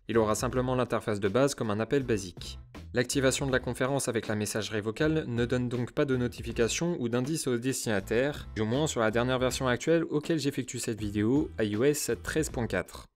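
A man's voice: mid-range voice, a little fast. Male tutorial host, medium register, scripted instructional narration with formal phrasing, music bed. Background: background music.

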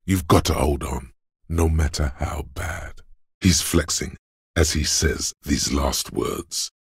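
An actor playing a gruff, macho inner voice of physical strength, hectoring like a drill coach in a video game, gravelly and commanding. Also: male voice, very deep voice, no echo, clean studio sound, heavily acted. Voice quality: gravelly, husky. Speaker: game character voice. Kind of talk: scripted video game narration.

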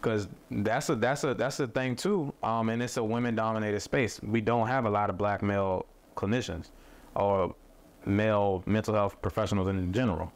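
Man, low voice, thoughtful, halting with fillers, casual chat about mental health work. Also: fairly even intonation. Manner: thoughtful, with frequent fillers and restarts. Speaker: mental health content creator. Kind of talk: casual conversation. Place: studio set with a table.

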